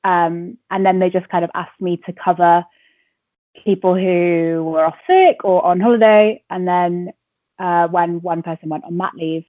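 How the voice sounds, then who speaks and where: A woman's voice: on the low side, fairly even intonation, unhurried, no room sound. A woman in her twenties from Wales with a southern English accent, chatting casually and giggly as a radio reporter being interviewed. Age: 20s. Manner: chatty and informal, giggles often, frequent "like". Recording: thin, narrow phone-like call audio.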